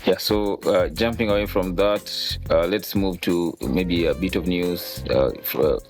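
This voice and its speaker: A man's voice: deep voice, measured pace, soft background music. A man from Kenya co-hosting a podcast, chatting about news in a rambling way with many restarts. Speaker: podcast co-host. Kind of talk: casual podcast talk and news chat.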